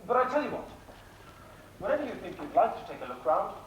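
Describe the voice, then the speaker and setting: A man's voice: high-pitched, very animated, fast talker. A man playing an earnest, friendly young hero in a stage pantomime, projecting heartily. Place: live theatre stage. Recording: echoey theatre, distant camcorder, faint noise.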